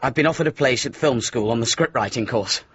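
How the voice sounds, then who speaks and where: An actor playing a frank, gently stubborn young son in a radio drama, casual and matter-of-fact. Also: male voice, medium pitch, fairly quick, breathy edge, highs cut off. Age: young adult. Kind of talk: radio comedy drama acting.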